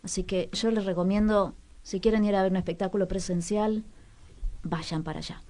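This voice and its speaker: A woman presenting cultural recommendations on the radio in a casual, conversational way, pausing to search for words and repeating some for emphasis. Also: mid-range voice, fairly even intonation, dark tone.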